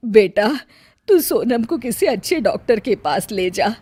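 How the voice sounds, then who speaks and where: A woman voicing a kindly, concerned middle-aged mother-in-law in an acted moral story, gentle and coaxing. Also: on the high side, lively intonation, unhurried, breathy edge, no echo. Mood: worried.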